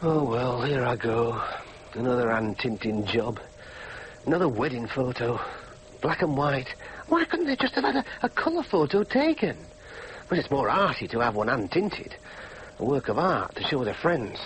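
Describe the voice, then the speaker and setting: Frustrated young Yorkshire dreamer, high swooping voice. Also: male voice, big pitch swings, fast talker, hardly any pauses, full character voice, rough recording. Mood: brooding, wistful. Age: young adult. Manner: argumentative, brooding. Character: frustrated young working-class dreamer, sullen and self-doubting son. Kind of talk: naturalistic drama acting with monologue. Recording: faint background noise.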